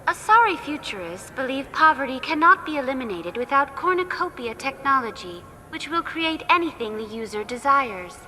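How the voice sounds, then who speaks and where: A high, bright virtual guide program from a sci-fi game, courteous and impassive. She delivers information formally with a sweeping intonation, ringing out in a large echoing space. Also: big pitch swings, exaggerated voice. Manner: formal, measured, instructive. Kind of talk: scripted informational guide narration. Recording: echoing hall, faint background noise.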